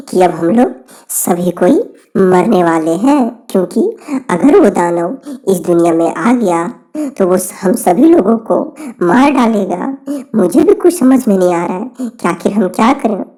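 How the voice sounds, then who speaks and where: A woman voicing a frightened, grumbling dwarf in a Hindi cartoon, with halting, stammering speech. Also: medium pitch, warm tone, natural voice. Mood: worried. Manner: rustic, pleading, repeats phrases.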